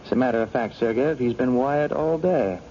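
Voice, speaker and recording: An actor playing an assured government agent, laying out accusations in a measured, matter-of-fact radio drama style. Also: male voice, mid-range voice, mellow, lightly acted. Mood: confident. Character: assured government agent man confronting a spy. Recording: dull lo-fi radio broadcast, faint noise.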